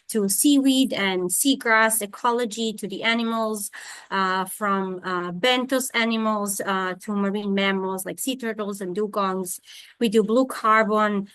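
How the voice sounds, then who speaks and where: A woman lecturer gives a scientific talk over a video call, hesitating often with fillers and restarts. Her voice sits at a middle pitch, and the sound is dry with no room echo. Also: fairly bright tone, smooth. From Serbia. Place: home in Thailand during monsoon rain.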